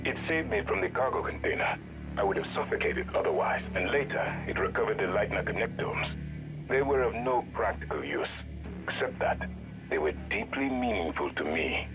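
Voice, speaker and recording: A man playing a thoughtful scientist in a video game, giving calm, precise assessments in a clinical, measured manner. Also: on the low side, over music, rough recording.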